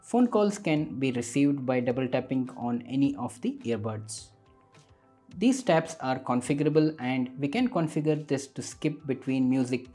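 A man's voice: medium pitch, dark tone. A man narrating a scripted product review as a tech reviewer.

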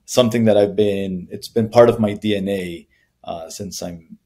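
Low mellow-voiced man from Colombia. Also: normal speed. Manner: thoughtful, many fillers and restarts. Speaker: guest, brand founder. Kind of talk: conversational interview answers. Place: small room with bare wall. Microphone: video call.

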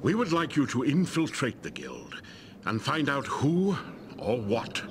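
An actor playing a grave, scholarly agent of a secret order in a fantasy video game, speaking in a formal, measured way. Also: male voice, medium pitch, wildly swooping pitch, noisy background. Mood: grave, informative.

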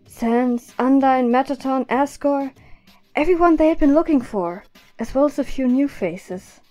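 Mellow, fairly high female narrator. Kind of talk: scripted story narration.

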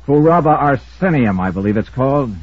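An actor playing a shrewd, sardonic insurance investigator, wry and pressing, in an old radio detective drama. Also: male voice, mid-range voice, animated, brisk, dry recording, close to an everyday voice. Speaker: star and narrator of the show. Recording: muffled old radio recording.

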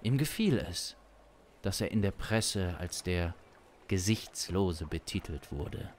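A man in his thirties improvising a crime story as narrator, deliberate and suspenseful, with long pauses, close on a studio mic. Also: low voice, lively intonation, a little mellow, slight background noise. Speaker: improvising audio-drama narrator. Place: small studio room. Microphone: close studio condenser mic. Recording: music under the voice. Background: instrumental music.

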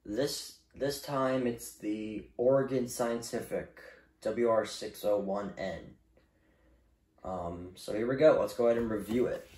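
Echoey casual man, halting delivery. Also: on the low side, dark tone, a little hiss or hum. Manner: halting, repetitive, emphatic on "literally". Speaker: vlogger reviewing gadgets. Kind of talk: casual unscripted product review. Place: indoors in a room at home. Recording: roomy, echoey home recording.

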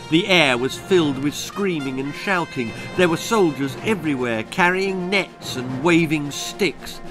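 Slow, theatrical older male storyteller. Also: a little high. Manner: theatrical, deliberate, suspenseful. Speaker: author reading his own story. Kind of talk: dramatic children's horror-comedy storytelling. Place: book-lined room indoors. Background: music.

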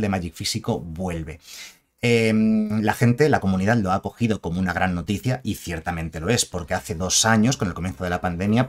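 A man in his thirties with a fairly low voice, talking casually to his viewers about game news from a small room at home. He speaks into a headset, drawls through lists of card names and keeps asking his audience if they follow. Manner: chatty, reads card names slowly, asks for agreement with ¿vale?. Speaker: gaming channel host. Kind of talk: casual news commentary to camera.

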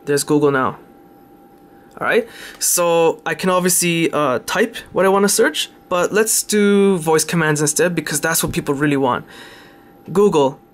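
Casual man, slightly high voice. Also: moderate pace. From Canada. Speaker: tech video presenter. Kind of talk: casual unscripted phone demonstration.

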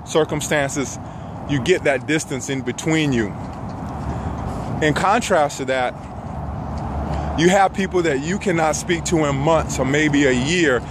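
A middle-aged man giving an earnest motivational talk in measured phrases with frequent pauses, walking outdoors with a phone at arm's length. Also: on the high side. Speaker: self-help vlogger. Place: outdoors on a path among trees. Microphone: phone held at arm's length. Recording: noisy outdoor phone recording, traffic ambience.

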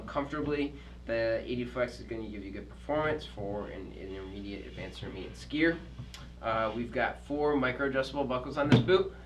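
A man's voice: medium pitch, background noise. A middle-aged man reviewing ski boots at a shop counter, explaining features steadily with frequent ums and uhs. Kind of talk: unscripted product demonstration. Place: ski shop with boots and skis on the walls, indoors. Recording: distant mic with steady shop ambience.

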